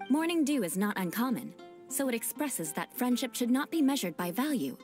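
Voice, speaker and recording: A woman's voice: on the high side, big pitch swings, brisk, darkish tone. An actress voicing a proud young noblewoman in a fantasy game who speaks in stiff, formal aristocratic phrasing. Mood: lecturing. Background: game music.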